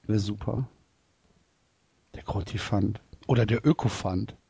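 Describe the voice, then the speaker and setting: Husky man with dry banter. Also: fairly low pitch, a little slow, warm tone, dry recording, lo-fi sound. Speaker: podcast co-host. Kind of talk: casual chat.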